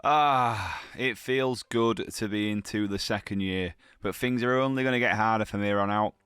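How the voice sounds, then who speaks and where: A man with a bad cold narrates his gameplay in a chatty, slangy, upbeat way. His voice sits fairly low and sounds smooth and clear. The sound is dry and close, with no room around it. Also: moderate pace, a bit bright, no echo. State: has a heavy cold. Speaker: gaming channel host. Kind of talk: gameplay commentary.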